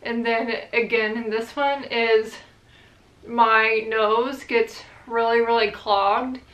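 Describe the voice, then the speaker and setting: A young woman chatting casually to her channel's viewers, relaxed and rambling with plenty of fillers. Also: fairly high pitch, slow pace, echoey room, low-quality recording. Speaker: vlogger. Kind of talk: casual chat to camera.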